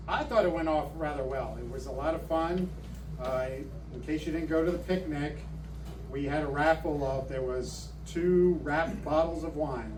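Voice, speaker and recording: A man giving a casual report at a club meeting, halting with many ums and restarts, recorded far off in an echoey room. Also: a little high, background noise. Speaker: meeting presenter. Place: meeting hall.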